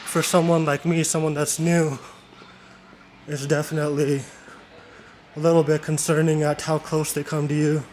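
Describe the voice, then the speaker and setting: A man's voice: fairly high pitch, slight background noise. A man from Canada casually narrating a walking vlog outdoors on narrow town streets. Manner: relaxed, unhurried narration to camera. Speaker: vlogger.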